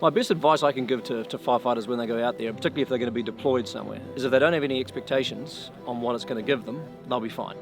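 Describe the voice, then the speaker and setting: A man talks quickly and plainly in an interview, practical and down to earth. His voice is mid-pitched. Music plays clearly behind him. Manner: matter-of-fact, practical. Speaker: planning section chief. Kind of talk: interview answers.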